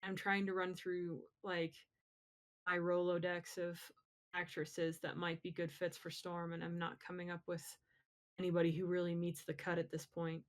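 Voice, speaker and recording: A woman's voice: fairly low pitch, monotone, normal speed. A woman co-hosting a podcast, chatting casually about pop culture in an informal, opinionated way. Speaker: podcast co-host. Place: live-streamed podcast.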